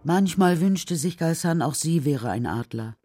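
A woman's voice: low-pitched, lively intonation, unhurried, warm tone, faint music behind. A woman narrating a children's radio drama as a scripted storyteller.

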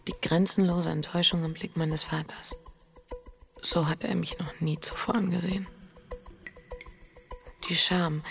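A guilt-ridden wife confides her torment in a radio play. Her voice is intimate and often hushed, with a gravelly creak, over faint music. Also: on the low side. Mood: ashamed. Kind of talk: introspective radio play narration and dialogue.

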